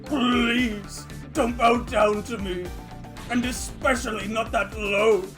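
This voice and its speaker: Man playing a male minister, high voice, formal, fussy, nervously commanding, game dub, faint music. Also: fairly even intonation, takes it slowly. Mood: flustered. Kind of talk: game fandub acting.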